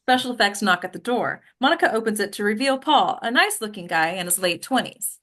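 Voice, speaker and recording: Woman reading stage directions, mid-pitched. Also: lively intonation, brisk, bright, forceful tone. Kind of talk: scripted narration at a table read.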